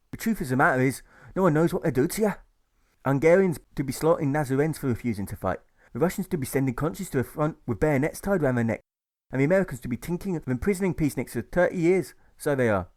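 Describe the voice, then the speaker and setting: A sympathetic young Irish soldier talks fast and heartily, full of lively swings, as a man acts him out. His voice sits well above the narrator's own and rings out louder, earnest and talkative. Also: on the high side, voice pushed up, natural voice.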